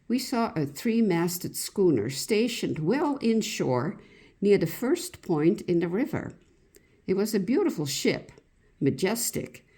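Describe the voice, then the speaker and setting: A woman with a slightly low voice reads a reminiscence aloud as narration. She takes it very slowly and deliberately, with lots of rise and fall in her pitch. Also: warm tone, slightly rough. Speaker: reader of a written local history account.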